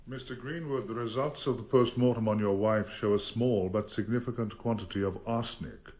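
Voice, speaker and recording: An actor playing a formidable English defence barrister in a radio drama, grave and probing, with measured, authoritative delivery. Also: male voice, on the low side, fairly even intonation, a little mellow, natural voice. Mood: grave, insistent. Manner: measured, deliberate, authoritative cross-examining. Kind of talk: radio courtroom drama acting.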